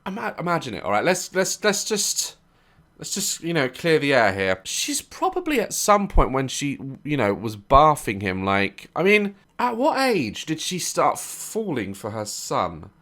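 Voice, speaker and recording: Hoarse guy doing a reaction vlog, jokey and sweary, voice bouncing all over. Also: on the high side, wildly swooping pitch, unhurried, fairly bright tone. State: hoarse, recovering from a sore throat. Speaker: reaction video host. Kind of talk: casual reaction commentary.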